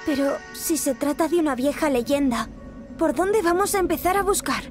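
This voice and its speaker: High-voiced little snowman boy voiced by a woman. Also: moderate pace, natural voice. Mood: puzzled. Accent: Castilian Spanish. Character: small young snowman boy, cautious, doubting sidekick. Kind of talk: video game cutscene acting. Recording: behind loud game music.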